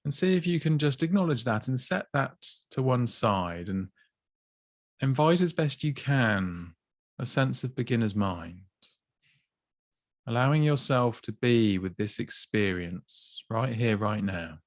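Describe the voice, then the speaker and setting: A man gently guiding a body scan meditation, slow and soothing with long pauses. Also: mid-range voice, lively intonation, dry recording, studio quality. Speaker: mindfulness teacher.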